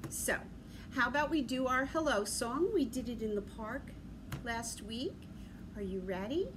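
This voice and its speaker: A middle-aged woman talking to young children as a library story time host, in an animated, sing-song way with simple questions. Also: a little high, measured pace. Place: large library room with big windows, indoors. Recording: clear background noise, room ambience.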